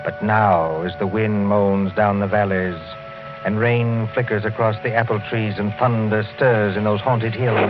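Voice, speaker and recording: A man's voice: on the low side, close to an everyday voice. A man giving atmospheric, literary narration for a radio horror drama in a solemn storytelling manner. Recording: muffled old radio, music behind.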